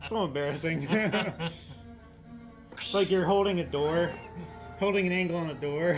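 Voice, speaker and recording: A man's voice: on the high side, moderate pace, over music. A man co-hosting a casual reaction show, wry and laid-back.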